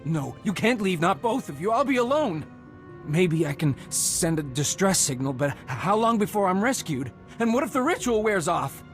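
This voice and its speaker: A man's voice: high voice, sing-song, normal speed, soft background music. A man playing an anxious, lonely stranded survivor in a video game, hesitant and earnest in his speech. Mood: panicked.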